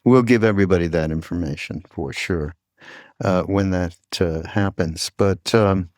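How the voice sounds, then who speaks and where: A man hosting a podcast in a casual, conversational way, with an informal, rambling and halting delivery. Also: sits low, a little mellow, no room sound, clean studio sound. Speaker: podcast host.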